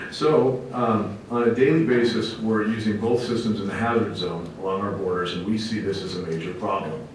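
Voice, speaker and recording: A man with a fairly low voice gives a public presentation as a fire officer. His delivery is emphatic and plainspoken, with a folksy turn. He is recorded at a distance in a very echoey meeting room. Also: moderate pace. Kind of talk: public presentation to a council committee. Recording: very echoey room, distant mic, faint rumbling noise.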